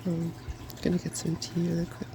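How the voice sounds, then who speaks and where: A softspoken ASMR host reading a fairy tale from a storybook in a gentle, quiet way. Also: mid-range voice, monotone, moderate pace. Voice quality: soft-spoken. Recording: clearly noisy background.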